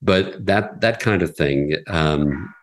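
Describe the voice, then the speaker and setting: A man's voice: low-pitched, takes it slowly. A middle-aged man who runs a classical radio station, answering interview questions earnestly with frequent restarts.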